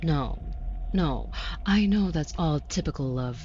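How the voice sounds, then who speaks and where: A muffled, slightly noisy recording carries a woman acting as a haughty female demon in an audio roleplay. Her voice is low and slow, drawling with sardonic, flirty condescension, like someone used to getting her way. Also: lively intonation, dark tone. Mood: cool, probing. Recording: muffled, with audible background noise.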